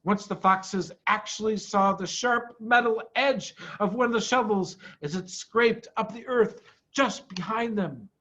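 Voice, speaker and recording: A man's voice: sits high, a little slow, hardly any pauses, bright, forceful tone, highs cut off. A man reading a children's story aloud in a theatrical, emphatic manner. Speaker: storybook reader, school head.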